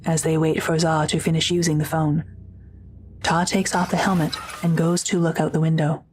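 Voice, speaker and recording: A woman's voice: low-pitched, flat delivery, normal speed, a little mellow, a little hiss or hum. A woman reading scripted audio drama narration in a neutral, descriptive manner.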